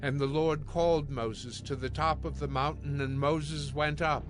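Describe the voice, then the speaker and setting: A man's voice: fairly high pitch, fairly even intonation, measured pace. A man narrating scripted scripture as a solemn storyteller in a dramatized audio production. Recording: music under the voice.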